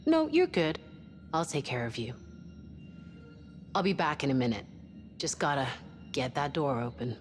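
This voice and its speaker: A woman's voice: sits low, big pitch swings, a little slow, pauses often. A woman playing a caring, lightly teasing ship's doctor in a sci-fi game. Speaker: actor in a video game. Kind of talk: cinematic sci-fi game acting. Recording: long hall reverb, faint music behind.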